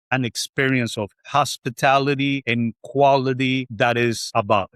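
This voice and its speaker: A middle-aged man from Colombia hosts a business podcast, his voice sitting at an ordinary middle pitch. He talks in long, winding runs, full of fillers and restarts. The sound is dry and close, with no room echo. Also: fairly bright tone. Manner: rambling, restarts, many fillers. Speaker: podcast host. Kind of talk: interview conversation.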